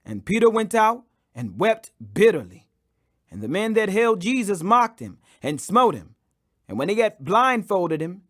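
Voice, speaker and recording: A man's voice: high voice, fairly bright tone. A man reading scripture as an audiobook narrator, solemn and emphatic, declaiming the quoted speech. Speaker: audiobook reader.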